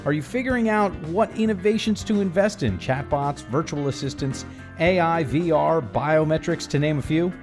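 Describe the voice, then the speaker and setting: Male podcast host, slightly high voice, punchy scripted reads, laid-back interviewer, over a music bed. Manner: upbeat and emphatic in the intro, soft and chatty in conversation. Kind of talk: scripted intro read, then casual interview.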